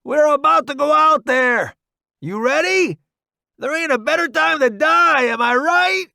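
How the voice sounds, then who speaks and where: A man playing a reckless, gung-ho young soldier under fire in a dramatised audiobook, shouting his words. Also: extremely high pitch, expressive, measured pace, creaky, no room sound, studio quality, pitched above the performer's own voice, full character voice. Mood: fired up, shouting. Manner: shouting, yelling orders. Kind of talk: dramatised audiobook character dialogue.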